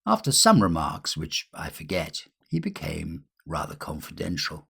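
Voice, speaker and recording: A man reading a literary ghost story in a measured, expressive way with dramatic pauses. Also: extremely low pitch, gravelly, breathy edge. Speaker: audiobook reader.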